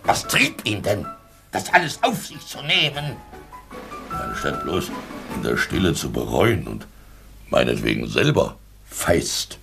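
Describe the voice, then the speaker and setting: A man's voice: deep voice, huge pitch swings, vocal fry, over music, exaggerated voice. An actor playing a drunken, fervent Russian man who rants and preaches theatrically in a German radio drama. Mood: fervent argument. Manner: theatrical, halting, oratorical, breaking into chuckles.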